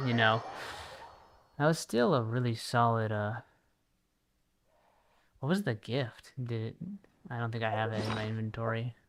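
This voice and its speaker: A man with a medium-pitched voice chats casually as he streams a video game. He is low-key and musing, letting thoughts trail off, with clear game music underneath. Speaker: livestreamer playing a video game. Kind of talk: casual gaming stream commentary.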